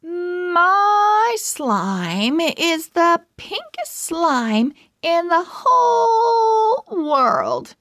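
A show-off little schoolgirl from a storybook, played by a woman reader. She brags in a high voice, dragging out every word very slowly for emphasis. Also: very animated, fairly bright tone, no echo, clean studio sound, heavily acted. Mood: boastful. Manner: drawn-out, emphatic bragging. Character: boastful little schoolgirl showing off.

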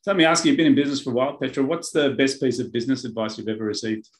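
A man's voice: medium pitch, steady tone, rapid-fire. Male host, fifties, brief encouraging prompts, home office webcam. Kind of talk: interview host. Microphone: webcam on video call.

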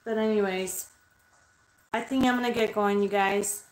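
A woman's voice: mid-range voice, fairly even intonation, moderate pace. Chatty woman livestreaming from an echoey bedroom. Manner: rambling, chatty, swears freely, talks to chat viewers. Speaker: livestreaming spiritual medium. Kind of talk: casual livestream chat. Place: small bedroom under red light. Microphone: webcam at desk distance.

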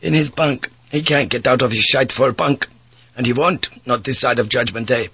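A man's voice: medium pitch, moderate pace, sharp tone, husky, no echo, exaggerated voice. A man voicing a sick, embittered young sailor with a strained, complaining tone. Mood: weary.

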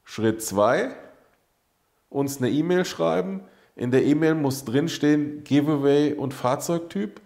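A man's voice: fairly high pitch, slow, vocal fry, darkish tone. A middle-aged man hosting a casual studio talk show, blunt and emphatic, repeating key points and now and then swearing. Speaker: show host. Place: studio set with brick wall backdrop.

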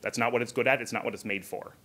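A man's voice: medium pitch, steady tone, fast, sharp tone, husky. Casual man presenting at a tech conference. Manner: casual, conversational explaining with asides. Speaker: conference speaker, software engineer. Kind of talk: informal technical conference presentation. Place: hotel conference room, indoors.

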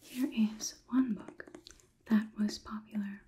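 A female robot overlord, played by a young woman, examines a human in an ASMR roleplay. The voice is a soft, mellow whisper at a middling pitch, measured and analytical. Faint noise and soft clicks sit behind it. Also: fairly even intonation, natural voice. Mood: detached, analytical. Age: young adult. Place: small indoor room, plain wall.

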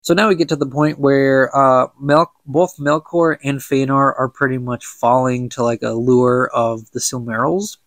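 Young guy with a stuffed up nose reading a book out loud for his podcast, a bit of fry, dead dry sound. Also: mid-range voice, level tone, moderate pace, hardly any pauses, no room sound. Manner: chatty, stumbles and laughs at own slips. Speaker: podcast host reading aloud. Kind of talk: casual book reading with commentary.

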